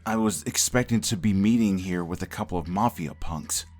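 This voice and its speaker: A man's voice: deep voice, normal speed, soft background music, natural voice. A man playing a desperate government agent in a comic book audio drama, insistent and exasperated. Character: desperate male government agent pleading his innocence.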